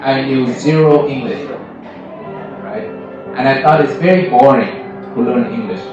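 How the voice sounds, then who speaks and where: Man, guest speaker, chatty and easygoing, mid pitch, far off the mic in a reverberant room. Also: unhurried, dull audio. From Vietnam, raised in America. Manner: conversational, often tags 'right?' to check with listeners. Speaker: guest speaker at a school English club. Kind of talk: informal talk to students. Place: school hall. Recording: echoey room, voice from afar, music behind.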